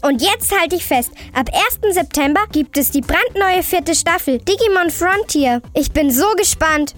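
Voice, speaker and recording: A woman playing an excitable, chummy girl host of a scripted children's audio show, talking in exclamatory youth slang. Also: extremely high pitch, normal speed, soft background music. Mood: excited, chummy. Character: Sally, chirpy excitable cartoon-like girl host. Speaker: host answering young callers' questions.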